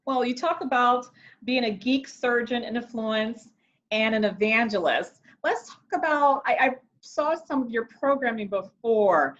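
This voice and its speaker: A woman hosting a webinar on a video call, reading a formal scripted introduction in a measured way. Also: on the high side, expressive, a bit bright, muffled recording. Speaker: webinar host.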